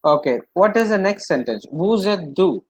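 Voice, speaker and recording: A man's voice: high voice, lively intonation, normal speed, husky, no echo. A man with an Indian accent leads an interactive language lesson. He repeats phrases, translates them line by line and often asks brief questions to check understanding. The sound is very dry, with no room around the voice. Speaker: French language teacher.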